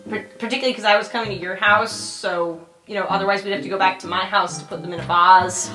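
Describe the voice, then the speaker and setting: A woman's voice: medium pitch, steady tone, fast, bright, forceful tone, reverberant, faint music behind, close to an everyday voice, poor audio. A woman playing a shy, easygoing teenager in improvised tabletop roleplay, with a hesitant, casual, mild delivery. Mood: reassuring. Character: shy, easygoing teenage party date called Kitty.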